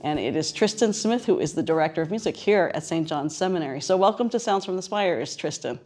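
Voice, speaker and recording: Female radio host, low-pitched voice, conversational interviewer on location. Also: expressive, fairly quick, a little mellow. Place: on location at a seminary.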